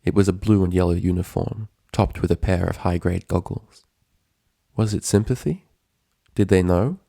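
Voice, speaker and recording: A man's voice: sits low, moderate pace, gravelly, warm tone, no room sound, studio quality. A man reading a story aloud as its narrator, in scripted prose narration. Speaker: narrator, fan fiction reader.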